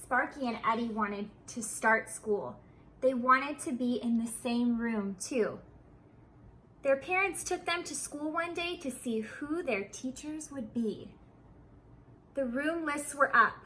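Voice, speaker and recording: A woman reading a children's storybook aloud, with deliberate, sing-song, expressive phrasing. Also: sits high, slight background noise, rough recording.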